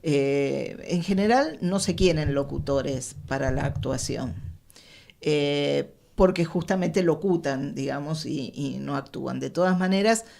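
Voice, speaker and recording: An Argentine woman in casual discussion, speaking with hesitant, halting phrasing. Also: sits low, gravelly, darkish tone. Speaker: panelist.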